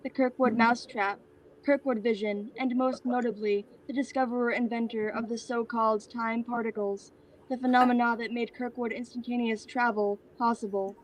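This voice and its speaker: Woman as Soren, even-toned obedient assistant. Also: on the high side, moderate pace, lightly acted. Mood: dutiful reciting. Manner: flat, formal recitation. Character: Soren, an obedient assistant reciting an employer's biography. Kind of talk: staged reading of a comic stage play. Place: remote virtual reading. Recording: noisy online video call audio.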